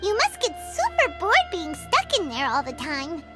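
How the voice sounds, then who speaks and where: A woman voicing a tiny, excitable floating girl companion who chatters in a bubbly way and calls herself by name. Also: moderate pace, exaggerated voice. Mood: chatty. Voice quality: high squeaky childlike voice. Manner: bubbly, talks about herself in third person. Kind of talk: game dialogue. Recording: game audio with loud music.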